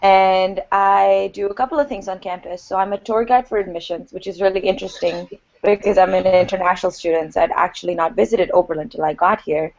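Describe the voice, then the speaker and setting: A woman's voice: medium pitch, steady tone, a little fast, darkish tone, muffled recording. A young woman from Pakistan, a student, introducing herself cheerfully on a video call. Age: young adult. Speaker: student panelist. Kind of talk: casual self-introduction.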